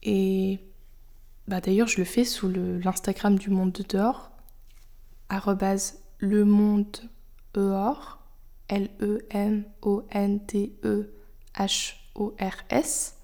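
Soft-spoken young woman, mellow confiding tone. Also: mid-range voice, flat delivery, takes it slowly. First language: French. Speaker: podcast host. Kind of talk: personal reflective monologue.

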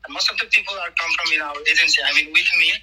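A man from Nepal with a Nepali accent, answering interview questions as a trekking guide in halting, repetitive non-native English. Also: on the high side, pushed and bright, a little hiss or hum, rough recording.

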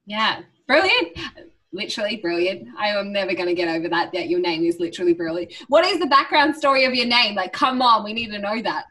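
Bright, bubbly young woman hosting a podcast. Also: mid-range voice, animated, brisk, low-quality recording. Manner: bubbly, effusive, gushing praise. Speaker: podcast host. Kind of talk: casual interview.

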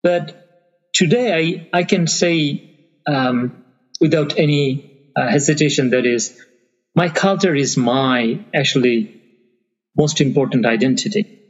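A man from Bangladesh whose first language is Bengali, speaking thoughtfully in an interview and pausing often to find his words. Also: medium pitch. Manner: thoughtful, searching for words with frequent fillers. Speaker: interview guest. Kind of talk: conversational interview. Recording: long reverb, muffled lo-fi sound.

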